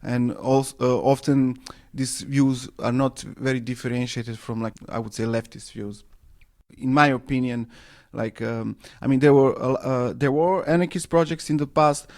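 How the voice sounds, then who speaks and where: A man from Serbia, speaking English as a second language, answering radio interview questions with many pauses and fillers. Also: medium pitch, steady tone, a little mellow. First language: Serbo-Croatian. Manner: hesitant, many fillers and restarts. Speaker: interview guest, anarchist newspaper editor.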